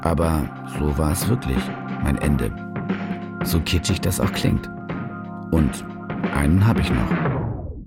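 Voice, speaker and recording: A man's voice: a bass voice, lively intonation, slow, gravelly, pauses often, loud music behind the voice, full character voice. An actor playing a wry, resigned man who narrates his own end confessionally in a radio crime drama.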